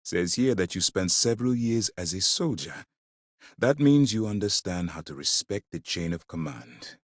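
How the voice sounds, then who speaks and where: Stern middle aged space navy commander for a video game, formal n authoritative, pitch moves around a lot, super dry recording. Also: male voice, animated, normal speed, dry recording. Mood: grave, firm. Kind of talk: dramatic video game dialogue.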